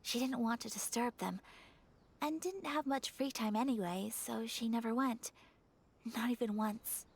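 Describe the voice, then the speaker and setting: A woman voicing a dutiful, reserved young noblewoman who speaks softly, politely and in a measured way. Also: on the high side, vocal fry, husky, exaggerated voice. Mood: reflective. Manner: soft-spoken, polite and measured.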